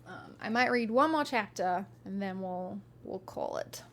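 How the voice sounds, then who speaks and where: A woman's voice: medium pitch, lively intonation, measured pace, a bit bright, a little hiss or hum. A woman streaming live, reading a fantasy novel aloud and chatting with viewers in a friendly, rambling way with frequent ums.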